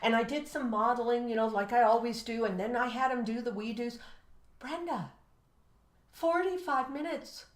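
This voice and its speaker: A woman's voice: fairly high pitch, normal speed. An American woman, a teacher co-hosting an education show, telling classroom stories and giving advice emphatically, acting out dialogue. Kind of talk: animated storytelling and teaching advice. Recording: echoey room.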